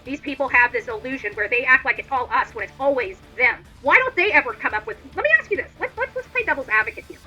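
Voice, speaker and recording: A woman hosting a livestream with an extremely high-pitched and very sharp, forceful voice. She rants indignantly and combatively, hammering her points with repetition and blunt phrasing. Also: lively intonation, quick pace, hardly any pauses, husky, soft background music, poor audio. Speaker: livestream host. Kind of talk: opinionated livestream rant.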